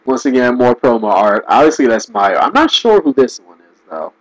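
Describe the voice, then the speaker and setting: A man giving casual, rambling commentary, restarting sentences and laughing often, his voice going hoarse. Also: medium pitch, very animated, brisk, no room sound, low-quality recording. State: losing his voice. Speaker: video commentator.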